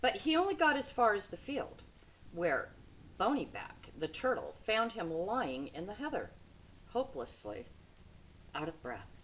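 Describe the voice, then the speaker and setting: A woman's voice: medium pitch, sing-song, a little slow, fairly bright tone, slight background noise, rough recording. A woman reading a children's storybook aloud with dramatic, emphatic phrasing. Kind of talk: expressive children's storybook narration.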